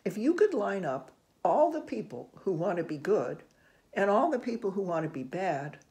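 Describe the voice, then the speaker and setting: Older storybook reader with a creaky voice. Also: medium pitch, big pitch swings. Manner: gentle, unhurried, musing with soft hmms and ohs. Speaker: children's read-aloud host. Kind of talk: picture book read-aloud with asides to children. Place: small room indoors at home.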